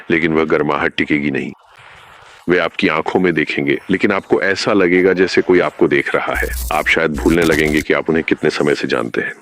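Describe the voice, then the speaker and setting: A man playing a grave announcer who reads an eerie analog horror warning in a measured, formal, ominous way. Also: a bass voice, a bit bright, slight background noise. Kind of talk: scripted analog horror narration.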